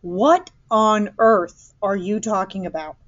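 A woman's voice: mid-range voice, slow pace, highs cut off. A woman reader voicing an anxious, exasperated mother in a story, dramatic and demanding. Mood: indignant.

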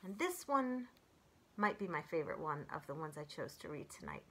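Expressive woman reading aloud to children. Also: low-pitched, normal speed, husky. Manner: gentle, expressive, talking as if to young children. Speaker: children's library storyteller. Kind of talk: read-aloud of children's poems with casual commentary. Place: small furnished room at home, indoors.

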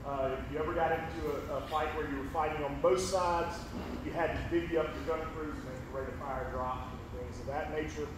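A middle-aged man, a high school history teacher, giving a folksy, rambling public lecture in a big, carrying voice. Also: steady tone, brisk. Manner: folksy, rambling, lots of ums and restarts. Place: large echoey auditorium with a screen. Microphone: distant room mic, speaker away from it. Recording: echoey hall from far off, room noise.

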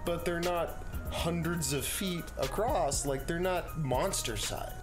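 A man's voice: fairly high pitch, slow pace. A man as a guest co-reviewer, bantering casually and wryly about a film. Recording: music behind the voice. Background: music.

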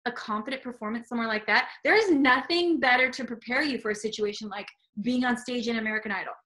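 A woman with a high, bright voice hosts a video-call interview, eager and emphatic. She speaks in her thirties' easy manner, recorded through a webcam in a small room indoors. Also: quick pace. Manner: enthusiastic, emphatic. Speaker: host.

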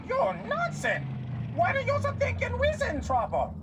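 A man voicing a clumsy, excitable cartoon alien sidekick in a squawky, childlike broken dialect for a video game. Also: measured pace, heavy background noise, full character voice. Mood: panicked. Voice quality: squawky, high-pitched. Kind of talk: animated video game cutscene acting. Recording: loud game effects behind.

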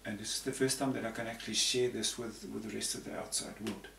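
Older guy from zambia rambling on, gravelly voice, roomy far-off mic. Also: fairly low pitch, fairly even intonation, fast talker, fairly bright tone. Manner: rambling, repeats words. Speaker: panel guest. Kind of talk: conversational explanation. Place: room with patterned tapestry backdrop. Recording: roomy, distant, faint hiss.

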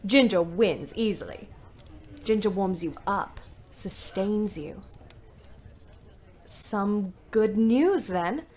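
A woman's voice: mid-range voice, lively intonation, slow pace, pauses often, darkish tone, slight background noise. An actress playing a wry, resentful young British woman ambulance driver, narrating her diary in an emphatic way. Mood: cheerful. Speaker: actor narrating an audio play.

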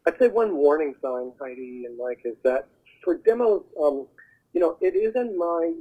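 A man giving explanatory advice as an interview guest over the telephone, haltingly searching for his words. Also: a little high, expressive, husky. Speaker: guest, voiceover agent. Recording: muffled narrowband phone line.

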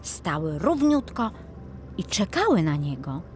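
A woman's voice: fairly low pitch, very animated, a little slow, darkish tone, soft background music. A woman narrator reading a scripted children's story.

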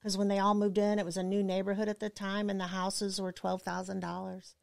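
A woman's voice: mid-range voice, flat delivery, a little fast, vocal fry. A woman casually chatting and reminiscing as a podcast guest, playful and wry.

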